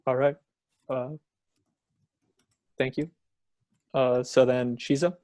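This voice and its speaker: A young man moderating a student debate in a relaxed, filler-heavy way. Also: medium pitch, takes it slowly, dry recording, studio quality.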